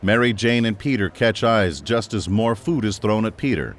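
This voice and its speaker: A man's voice: fairly low pitch, lively intonation, moderate pace, slight background noise. A man reading scripted narration for a radio drama.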